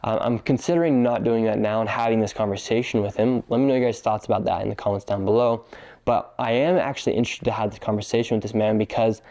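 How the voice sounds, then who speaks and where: A man with a low, mellow voice delivers a scripted online video narration. He speaks in a steady, earnest way throughout. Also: fast talker. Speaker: online commentator.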